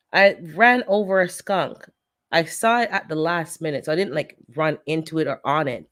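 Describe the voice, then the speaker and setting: A woman hosting a casual gardening livestream, a bit stuffy and congested, chatting and laughing as she tells stories and greets viewers. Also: sits low. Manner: chatty storyteller, laughs often, greets chat by name. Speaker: gardening channel host.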